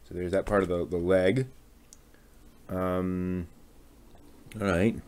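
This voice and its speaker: A man casually talking through a hands-on hobby build, halting and muttering to himself as he works. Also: deep voice, flat delivery, darkish tone. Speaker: hobby channel host building a model kit on stream.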